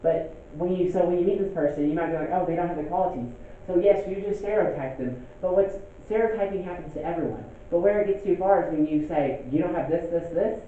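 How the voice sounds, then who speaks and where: A man's voice: sits high, quick pace, hardly any pauses, slight background noise. A young man teaching a class in a conversational way, often checking in with "okay?". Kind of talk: classroom lecture. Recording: echoey room, phone-like lo-fi sound.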